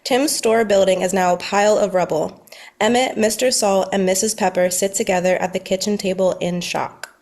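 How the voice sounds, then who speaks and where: Woman narrating a script, medium pitch. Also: fairly even intonation, moderate pace, a little mellow. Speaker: narrator reading stage directions. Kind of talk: scripted narration at a table read.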